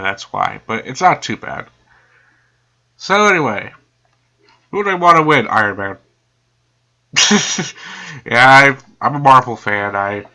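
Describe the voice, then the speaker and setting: A man's voice: medium pitch, pushed and bright. A man giving casual reaction commentary, chatty and laughing often, sounding stuffed up from a cold.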